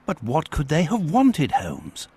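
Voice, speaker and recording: Casting: kindly British doctor sidekick for a game, husky, slightly high, wildly animated pitch. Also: male voice, takes it slowly, heavily acted. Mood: puzzled. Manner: expressive, solicitous. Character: fussy, kindly British gentleman doctor, the detective's companion. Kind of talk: video game voice acting.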